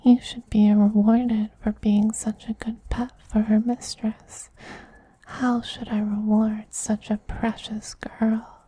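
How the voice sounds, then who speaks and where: Man playing a breathy, whispering, doting vampire queen. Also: sits high, flat delivery, no echo, exaggerated voice, rough recording. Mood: gently dominant. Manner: slow, tender, cooing, gently commanding. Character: doting, possessive, dominant femboy vampire queen soothing human pet. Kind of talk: soft-spoken ASMR roleplay.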